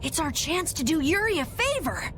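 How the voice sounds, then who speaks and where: High, excitable kid adventurer. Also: female voice, moderate pace, a little hiss or hum. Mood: eager excited. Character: eager, excitable kid adventurer, naive and loyal. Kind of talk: anime video game dub acting. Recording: game music behind.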